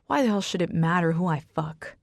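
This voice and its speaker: A woman voicing a brooding, possessive, hot-tempered man with a rasp, as a character in a romance audiobook. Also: lowish voice, animated, a little fast, no room sound, studio quality. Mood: tense, bitter. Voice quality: rasping.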